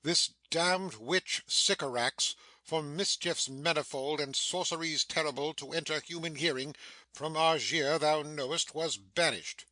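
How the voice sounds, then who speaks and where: An imperious sorcerer master, played by a man, declaiming in a Shakespeare audiobook drama. The voice is forceful and sharp, slightly high for a man, and recorded dry and close. He is stern, commanding and quick to scold. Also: no room sound. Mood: scolding anger.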